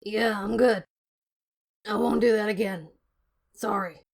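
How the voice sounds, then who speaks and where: A woman voicing a brash, hot-tempered female monster cop with a gravelly, forceful voice in a story. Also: medium pitch, fairly even intonation, slow pace. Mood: grudging, calming down. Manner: forceful, shouting.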